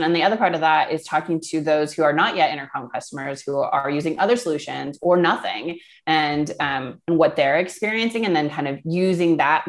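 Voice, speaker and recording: Female podcast guest, low voice, conversational and explanatory, informal phrasing with casual fillers. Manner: explanatory, peppered with "you know" and "kind of". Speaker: guest, product marketing manager. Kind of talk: conversational podcast interview answers.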